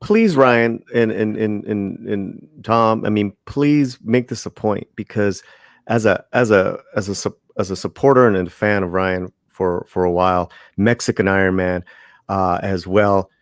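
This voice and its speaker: A man speaking casually on a panel, emphatic and halting, often repeating his words. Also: lowish voice, fairly even intonation, no room sound, clean studio sound.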